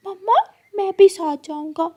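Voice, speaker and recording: A woman's voice: very high-pitched, moderate pace, warm tone, highs cut off. A woman voicing a little boy bird in a children's cartoon, childish and pleading. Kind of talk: Hindi children's cartoon story.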